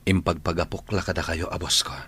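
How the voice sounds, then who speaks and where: A man with an Ilocano Filipino accent acting a humble, apologetic young employee in a radio drama, with a husky voice. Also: deep voice, fairly even intonation, a little fast, sharp tone, exaggerated voice. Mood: apologetic, pleading.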